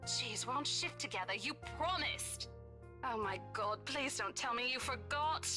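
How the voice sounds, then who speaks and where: A woman's voice: high voice, expressive, unhurried. A woman voicing a sassy, impatient young real estate agent in a game, teasing and chatty, with music behind her. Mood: exasperated, teasing. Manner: teasing, chatty, dismissive of spooky rumors. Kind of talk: visual novel game voice acting.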